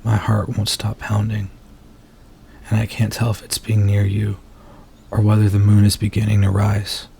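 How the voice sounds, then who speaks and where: A man playing a nervous, earnest werewolf in an intimate ASMR roleplay, speaking softly and haltingly as he confides in his date. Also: lowish voice, completely flat delivery, vocal fry, a little hiss or hum. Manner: soft-spoken, hesitant, halting, earnest.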